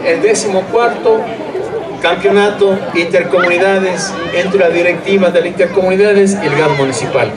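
High-voiced man making formal speech. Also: normal speed. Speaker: local official closing a championship. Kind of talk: public speech, formal declaration. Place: outdoor stage. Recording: echoey outdoor PA, distant, crowd noise.

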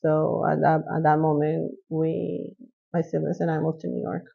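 A woman's voice: sits low, flat delivery, normal speed, gravelly, breathy edge, muffled recording. A woman in her thirties from Costa Rica, a podcast guest chatting casually from a living-room couch, laughing often and trailing off. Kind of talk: casual interview.

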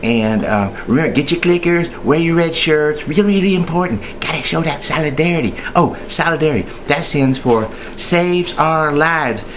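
A man's voice: on the high side, normal speed, few pauses, a little hiss or hum. A man speaking as a union member in an informal training video, chatty and hesitant, rambling along and often repeating himself. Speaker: union member giving tips.

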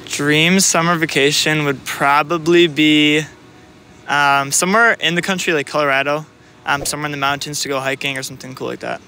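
A man's voice: on the high side, steady tone, fairly quick, pushed and bright, a little hiss or hum. A young man from Wisconsin answering casually with many fillers, outdoors. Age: young adult. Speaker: interviewee. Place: outdoors by government building.

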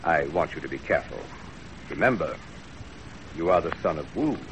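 An actor playing a stern, cautious wealthy Chinese banker father, measured and admonishing, in 1930s radio drama on an old recording. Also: a bass voice, animated, background noise. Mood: stern, cautioning.

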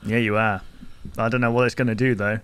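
A man's voice: lowish voice, little pitch movement, quick pace, fairly bright tone, clear, resonant tone. A man, giving casual, wry running commentary into a close microphone. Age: 20s. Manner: wry, musing asides. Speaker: reaction video host. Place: small bedroom studio indoors. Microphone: close desk microphone. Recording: close mic, film audio noise behind.

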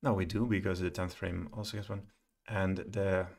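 A middle-aged man thinking aloud as he presents a coding screencast, halting and muttering to himself at a computer. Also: sits low, level tone, gravelly, darkish tone. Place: small room indoors at home, at a computer. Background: keyboard typing and clicking.

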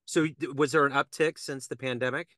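A man's voice: mid-range voice, fairly even intonation, brisk, bright, forceful tone, no room sound, studio quality. A middle-aged man hosting an interview over a video call, asking casual questions between brief acknowledgments. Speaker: interview host. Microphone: video call webcam.

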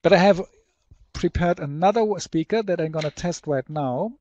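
Higher-voiced man presenting a webinar. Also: normal speed, darkish tone, lo-fi sound, a little hiss or hum. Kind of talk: product demonstration and slide presentation.

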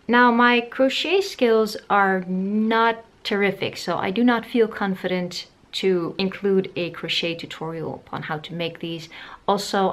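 A woman in her thirties chatting to the camera from her living room as she shows a craft project, wondering aloud and poking fun at her own skills. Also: medium pitch. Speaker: sewing vlogger. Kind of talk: casual tutorial talk to camera.

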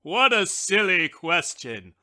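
A strutting, self-important little wishbone in a storybook, declaiming loudly and talking down to everyone around him, as a woman reads him. His voice is bright and swings widely in pitch as he boasts. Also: sing-song, takes it slowly, heavily acted. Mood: haughty. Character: pompous boastful male wishbone, small.

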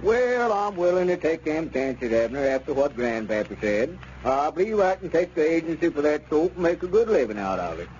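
An actor playing a sly, unctuous small-town squire who talks in a hesitant, roundabout way in radio comedy. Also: male voice, fairly high pitch, sing-song, brisk, hardly any pauses, darkish tone. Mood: wheedling. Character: wheedling small-town squire, sly real-estate dealer. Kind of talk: 1930s radio comedy acting. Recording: dull, noisy old radio recording.

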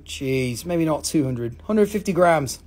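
A man hosting a live rock sale, joking, swearing and rambling in fast auction patter. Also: a little high, lively intonation, a little mellow. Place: mineral shop indoors.

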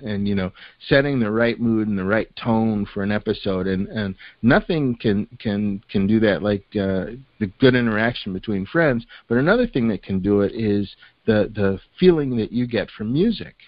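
An older man with a lowish voice hosts a podcast, talking loosely and filling gaps with "uh" and "you know". The recording is close and dry with a dull, slightly muffled top. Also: normal speed, few pauses, dry recording. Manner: relaxed, full of fillers like "you know" and "uh". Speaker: podcast host. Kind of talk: casual chat.

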